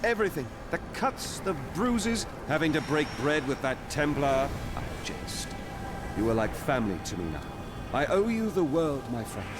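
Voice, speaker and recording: An actor playing a cocky, roguish but sentimental adventurer in a video game, with a wry, theatrical delivery. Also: male voice, a little high, very animated, moderate pace. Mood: wry affection. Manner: wry, theatrical, swinging between joking and feeling. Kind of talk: dramatic video game dialogue. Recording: loud music under the voice.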